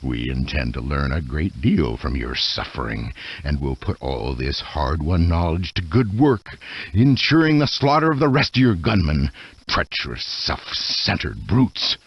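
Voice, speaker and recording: Deep, raspy villainous general. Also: male voice, very animated, dry recording, lo-fi sound, heavily acted. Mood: sinister storytelling. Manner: theatrical, gloating, deliberate menace. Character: menacing, vengeful military general villain, grandiose and cruel. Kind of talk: video game villain voice acting.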